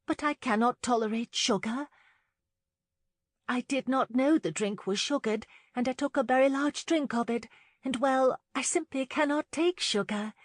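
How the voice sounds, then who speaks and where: A woman's voice: sits high, normal speed, dry recording, voice pushed up. A woman voicing a nervous young countess who falters and stammers politely in an audiobook novel. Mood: nervous, over-bright. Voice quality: breathy murmuring, faltering. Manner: hesitant, stammering.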